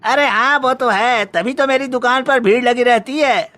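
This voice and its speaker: A man's voice: very high voice, moderate pace, sharp tone, no room sound, faint music behind. A man voicing a hot-tempered, cowardly food seller in a cartoon story, blustering in an exaggerated way. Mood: brash. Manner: blustering, stammering when frightened, exaggerated. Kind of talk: Hindi cartoon story dubbing.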